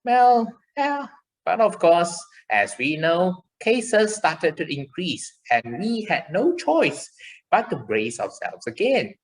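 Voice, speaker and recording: Children's puppet show: woman as caring, chirpy child puppet, very animated pitch, unhurried, exclamatory delivery. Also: low-quality recording. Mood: earnest, reassuring. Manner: slow, exclamatory, encouraging, simple explanations.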